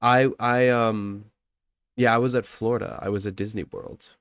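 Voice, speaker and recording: A congested young man co-hosts a casual podcast, his voice low and smooth under the stuffiness. He rambles and corrects himself as he goes. The sound is close and dry, with no room around it. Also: fairly even intonation, moderate pace, fairly bright tone, dry recording. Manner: rambling, self-correcting, laughs often. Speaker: podcast co-host.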